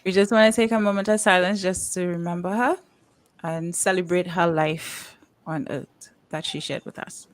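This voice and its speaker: A woman's voice: lowish voice, moderate pace. A woman with a Caribbean accent hosting a casual livestream talk show, chatty, with frequent "um"s and laughs. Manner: chatty, laughs often, uses fillers like "um" and "you know". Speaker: podcast host.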